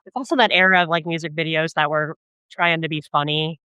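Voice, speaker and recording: A woman's voice: low voice, steady tone, fairly quick, sharp tone, smooth, no echo, muffled recording. A middle-aged woman hosting a podcast, talking casually about pop music. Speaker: podcast host.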